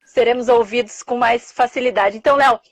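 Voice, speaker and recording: A woman's voice: a little high, fairly even intonation, fairly quick, no room sound. A young adult woman with a Brazilian Portuguese accent, hosting a live interview and thanking her guest effusively. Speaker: host.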